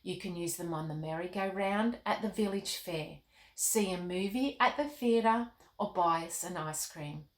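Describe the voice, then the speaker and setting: Woman reading as a gentle, majestic storybook king. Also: on the low side, reverberant, natural voice. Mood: patient, explaining. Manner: measured, gentle, instructive. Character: kindly, majestic, reassuring king in a children's storybook.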